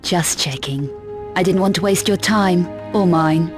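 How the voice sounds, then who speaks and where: A young adventurer played by a woman in a game cutscene, tough and sure of herself. She talks in a curt, sardonic way with quiet menace, and faint music runs behind her voice. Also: lowish voice, measured pace, close to an everyday voice. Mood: sardonic, menacing. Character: tough, self-assured young female adventurer, cool and menacing. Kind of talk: video game cutscene acting.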